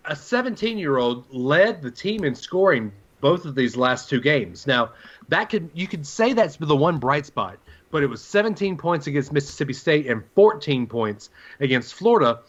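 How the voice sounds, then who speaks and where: A man's voice: a little high, big pitch swings, moderate pace, muffled recording. A man co-hosting a sports talk show, giving his opinions and putting questions in a conversational way.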